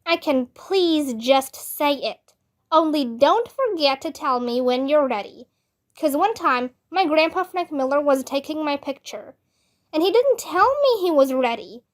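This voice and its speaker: A woman's voice: sits high, expressive, unhurried. A woman voicing a feisty, chatty, literal-minded little girl who over-explains in a storybook. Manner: indignant, over-explaining, childish.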